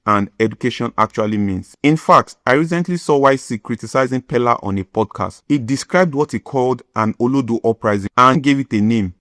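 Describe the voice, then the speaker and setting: Nigerian guy reading out his take, calm and lecture-y, dry close sound. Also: medium pitch, dry recording. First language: Yoruba. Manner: measured, lecturing, list-like delivery. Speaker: commentary video host. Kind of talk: scripted opinion monologue.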